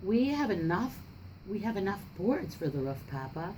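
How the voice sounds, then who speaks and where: A woman's voice: mid-range voice, very animated, normal speed, dark tone, slight background noise, close to an everyday voice, low-quality recording. A woman voicing an anxious, defensive young boy in a storybook. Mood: pleading, defensive.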